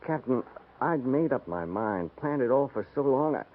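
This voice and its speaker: Man playing a husky, proud cavalry deserter. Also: mid-range voice, lively intonation, moderate pace, phone-quality sound, close to an everyday voice. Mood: earnest, pleading. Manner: earnest, pleading, stubborn. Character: proud, defiant cavalry deserter eager to redeem himself. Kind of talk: 1950s radio western acting. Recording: band-limited 1950s radio recording.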